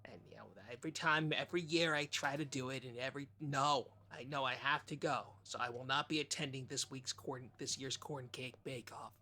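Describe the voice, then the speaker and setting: A performer playing an eager, anxious, childlike small-town podcast co-host in improvised comedy, halting and stammering with earnestness. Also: medium pitch, fairly even intonation, bright, forceful tone. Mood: hesitant, glum.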